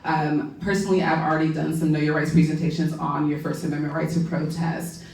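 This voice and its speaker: Low-voiced woman lawyer in an echoey room. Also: level tone, fairly quick. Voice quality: loud voice. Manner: earnest, conversational, frequent "um" and "right?". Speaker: policy lawyer giving a public talk. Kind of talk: public talk to a community audience. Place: public library meeting room. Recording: echoey room, mic far off.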